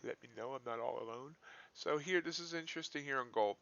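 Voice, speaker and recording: A man's voice: mid-range voice, brisk, creaky, a bit bright. A man hosting a live trading stream, thinking aloud in casual, folksy commentary. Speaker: live-streaming futures trader, host. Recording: dull, lo-fi livestream audio.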